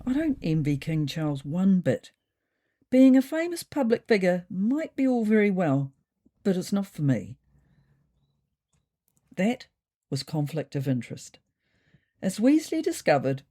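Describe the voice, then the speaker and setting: Expressive, mellow woman storyteller. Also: deep voice. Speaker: narrator. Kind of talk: scripted first-person story narration.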